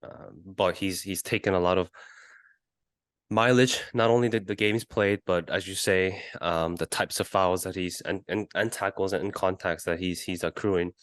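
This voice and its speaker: A man co-hosting a casual football podcast, reasoning in a measured, halting way. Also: low-pitched, steady tone, no room sound. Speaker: podcast co-host.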